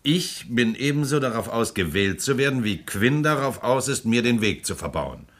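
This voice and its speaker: A man's voice: mid-range voice, animated, normal speed, a bit bright. A man playing a suave, amused prosecutor and politician in a radio play, ironic and self-assured, laughing often. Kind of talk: German radio play acting.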